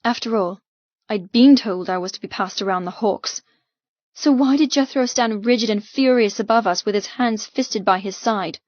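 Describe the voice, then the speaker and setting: A woman reads dramatic first-person fiction as an audiobook narrator. Her pitch is middling and her delivery intense and emotive. The recording is very dry and slightly dulled. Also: moderate pace, no echo, muffled recording.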